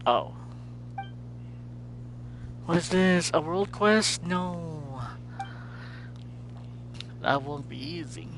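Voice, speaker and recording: A man's voice: a little high, extremely slow, long pauses, fairly bright tone, slight background noise. A man doing casual live gameplay commentary as a streamer, muttering to himself and repeating his phrases. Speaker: game streamer.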